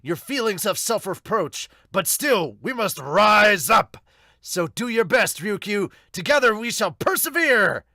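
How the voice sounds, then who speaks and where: A man voicing a passionate, bombastic superhero with loud, overwrought delivery in an amateur manga dub. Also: high voice, very animated, measured pace, dry recording, voice pushed up, full character voice. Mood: impassioned. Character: passionate, bombastic male superhero urging comrades on.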